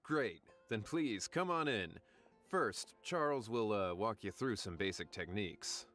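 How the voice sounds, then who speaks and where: An actor playing a courteous event organizer in a video game, speaking in a polite, inviting way. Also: male voice, on the low side, lively intonation, a little slow, a bit bright, faint music behind. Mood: welcoming. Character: courteous male event organizer welcoming newcomers.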